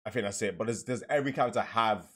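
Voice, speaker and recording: A young man giving casual, slangy, excitable reaction commentary with some swearing, as a streamer at home. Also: lowish voice, rapid-fire, breathy edge. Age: young adult. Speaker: reaction video host and streamer. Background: game trailer music and sound.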